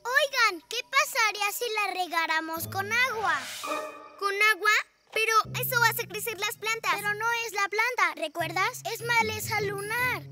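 A woman's voice: very high-pitched, moderate pace. A woman voicing an eager, earnest young hero girl in a cartoon dub. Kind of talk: animated series dub. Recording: studio voice with loud music.

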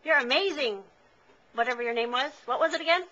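A woman's voice: sits high, fast, pushed and bright. A woman casually emceeing a puppet show and chatting with the puppets. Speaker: puppet show host at a library. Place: indoor library room. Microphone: handheld pocket camcorder. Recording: muffled camcorder with faint room noise.

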